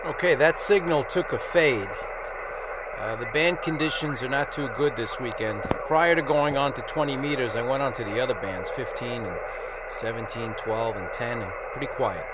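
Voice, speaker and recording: Man on ham radio amid loud static. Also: medium pitch, moderate pace. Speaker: amateur radio operator and vlog host. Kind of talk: casual radio commentary and on-air call-signs. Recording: very noisy, radio static and hiss. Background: loud ham radio noise.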